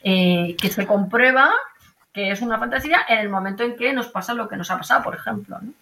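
Bright-voiced woman with a Madrid accent. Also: medium pitch, a little fast, vocal fry. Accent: Madrid Spanish. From Madrid, Spain. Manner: emphatic, repeats phrases, checks with tag questions. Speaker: interview guest, archaeologist and university professor. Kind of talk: explanatory conversation.

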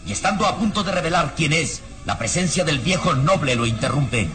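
High-pitched male radio serial narrator. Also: lively intonation, a little fast. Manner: grave, suspenseful, theatrical. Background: dramatic music.

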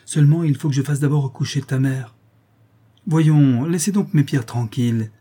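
Man playing a weary, exasperated gem-cutter, mellow and husky. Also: mid-range voice, normal speed, pitched above the performer's own voice, lightly acted. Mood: weary, hushed. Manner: pleading, scolding, raising his voice.

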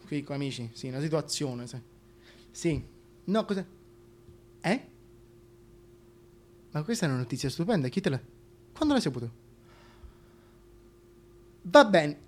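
A man playing a foul-mouthed, two-faced schemer on the telephone in comic radio theatre, volatile in manner. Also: high voice. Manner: volatile, switching between cursing and oily politeness.

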